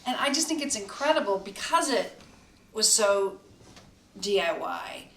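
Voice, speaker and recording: A woman cast member reflects on making the show in a casual, appreciative way. Her voice is mid-pitch. She sounds distant from the mic in an echoey room. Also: animated, measured pace, slight background noise. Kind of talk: casual chat. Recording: roomy echo, distant mic.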